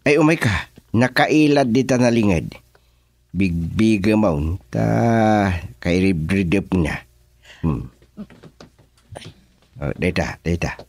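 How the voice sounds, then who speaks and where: Man playing a slow, lowish-voiced village chairman, Ilocano accent. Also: animated, darkish tone, no room sound, full character voice. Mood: puzzled, questioning. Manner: deliberate, questioning. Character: unhurried, mediating village chairman. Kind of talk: Ilocano radio comedy drama acting.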